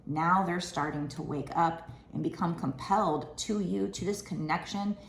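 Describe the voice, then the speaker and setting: A woman in her thirties with a raspy, tired voice, giving a casual, rambling tarot card reading at a table indoors. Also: lowish voice, moderate pace, reverberant, slight background noise. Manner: chatty, rambling, with many fillers. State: raspy from lack of sleep. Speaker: tarot reader.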